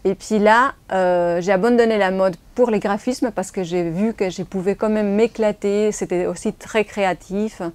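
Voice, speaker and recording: A graphic designer and photographer from Spain, a native Spanish speaker with a Spanish accent, answering interview questions in plain, slightly non-native phrasing. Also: female voice, medium pitch, steady tone, normal speed, hardly any pauses, darkish tone, smooth.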